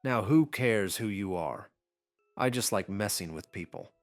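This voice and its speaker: A man's voice: lowish voice, lively intonation, unhurried, no echo, studio quality, faint music behind. A man voicing a gleeful, sadistic godlike game master in an anime audiobook, taunting and mockingly amused.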